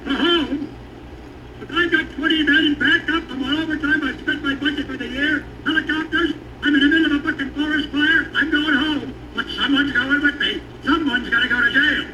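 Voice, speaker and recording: A man's voice: very high voice, steady tone, brisk, bright, forceful tone, full character voice. A man playing a flippant, bargaining police officer in comedic screen dialogue. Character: male cop, bargaining, unhurried, flippant lawman. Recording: echoey, distant, noisy.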